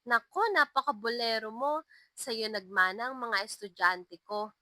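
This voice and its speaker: A woman voicing a kind, playful young schoolteacher in a narrated love story, explaining gently and teasing fondly. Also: on the high side, unhurried, no room sound. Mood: flustered, teasing.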